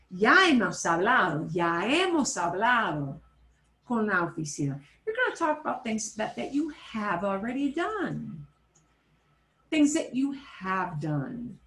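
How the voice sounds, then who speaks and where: A woman teaching a Spanish class over a video call, switching between Spanish and English and repeating phrases slowly. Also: medium pitch, fairly bright tone, echoey room. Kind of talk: online class instruction.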